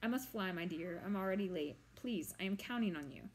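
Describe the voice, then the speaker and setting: Woman reader voicing a millennia-old male storybook monster; lofty, tired, drawn-out lecturing delivery. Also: mid-range voice, expressive, a little hiss or hum, lightly acted. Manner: grand, slow and formal. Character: ancient male swamp monster, weary and pompous.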